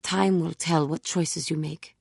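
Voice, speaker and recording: A woman playing a proud, tormented fallen warrior seeking redemption in a video game, speaking in formal, solemn and deliberate phrases. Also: sits low, steady tone, vocal fry, a little mellow, breathy edge, no echo, full character voice. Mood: solemn, earnest. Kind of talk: dramatic video game character dialogue.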